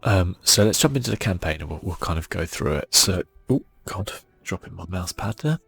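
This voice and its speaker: A soft-spoken man hosting a relaxed ASMR game playthrough, casual and a little hesitant in his delivery. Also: low-pitched, a little fast, gravelly, slightly rough. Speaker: gaming channel host.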